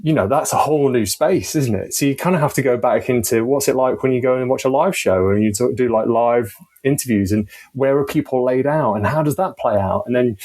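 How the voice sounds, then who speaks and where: A man's voice: mid-range voice, fairly quick, darkish tone. A man chatting casually as a podcast guest, rambling and reflective, often checking for agreement. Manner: rambling, reflective, seeks agreement with tag questions. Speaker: podcast guest with audio engineering background. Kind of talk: casual conversation.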